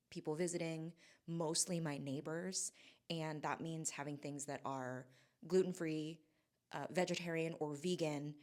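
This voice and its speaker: A woman from Hawaii, in her forties, talks casually to her camera at home. Her voice sits very low for a woman. She muses and wanders, stopping and restarting her thoughts with plenty of fillers. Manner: musing, halting, with many restarts and fillers. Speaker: vlogger. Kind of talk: casual reflective monologue. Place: home in Southern California.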